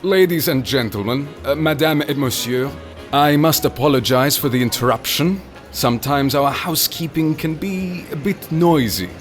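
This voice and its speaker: An actor playing an urbane, menacing male captain of a pleasure ship in an audio drama, speaking in a deliberate, theatrical way. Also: fairly high pitch, lightly acted. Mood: jovial hosting. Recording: music behind the voice. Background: music.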